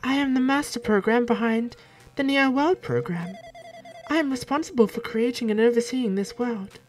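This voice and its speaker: A woman doing a gentle boyish AI program. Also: a little high, moderate pace, soft background music, voice pushed up, natural voice. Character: gentle young male AI program.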